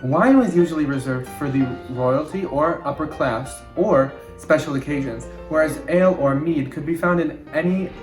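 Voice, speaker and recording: A young man with a slightly high voice narrates an educational video from a script. The room is clearly echoey, and plucked guitar music plays behind him. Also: moderate pace, few pauses. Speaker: presenter and student actor on a sound team. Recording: roomy echoey recording with music. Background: plucked guitar background music.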